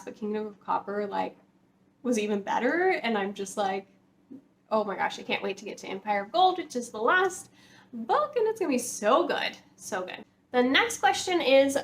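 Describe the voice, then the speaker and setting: A woman with a fairly high voice chats casually to her viewers in an enthusiastic, gushing manner, peppering her sentences with "like." She sounds like an informal book vlogger, recorded with noticeable background noise. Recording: clear background noise, room ambience.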